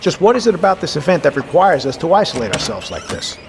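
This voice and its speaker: A man's voice: fairly high pitch, big pitch swings, quick pace, crowd noise. An American man playing a commanding, wary Navy submarine captain in an audio drama, with clipped, authoritative military delivery. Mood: guarded, probing. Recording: clear ambient background noise.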